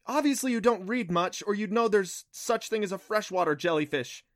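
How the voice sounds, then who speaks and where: A man's voice: sits high, animated, moderate pace, no echo, clean studio sound, pitched above the performer's own voice. A man voicing a bold, clever and bossy young girl adventurer in a storybook reading. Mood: scornful, urgent.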